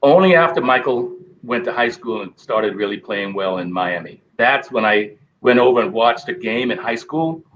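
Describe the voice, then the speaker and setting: A middle-aged man, a guest telling stories in an animated way. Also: medium pitch, normal speed, hardly any pauses, highs cut off, slight background noise. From Bahamas. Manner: animated storyteller acting out quoted voices. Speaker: guest, former basketball player. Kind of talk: storytelling interview.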